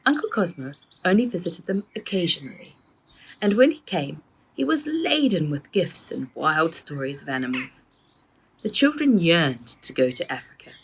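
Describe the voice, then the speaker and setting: A woman reading a children's story aloud as its author, with an expressive, animated storytelling delivery and a husky voice. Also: lowish voice, a little hiss or hum, rough recording. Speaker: author reading own book. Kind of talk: expressive children's audiobook narration.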